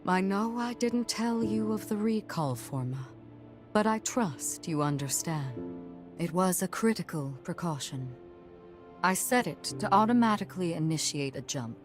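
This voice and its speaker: A woman playing a stern, composed noblewoman and mentor in a video game, speaking formally and with authority. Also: on the low side. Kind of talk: video game cutscene acting.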